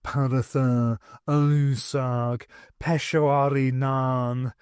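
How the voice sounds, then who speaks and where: A man's voice: medium pitch, little pitch movement, slow pace, a little mellow, smooth, dry recording, clean studio sound, natural voice. A man voicing a gleefully evil pantomime vizier in a storybook, theatrical and intoning.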